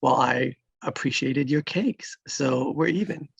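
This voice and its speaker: An actor as a cocky, likeable male cop, husky with fry, teasing, slightly muffled dry audio. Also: mid-range voice, unhurried, dry recording, full character voice. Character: self-satisfied, friendly, flirty male police officer. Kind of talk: screenplay table read.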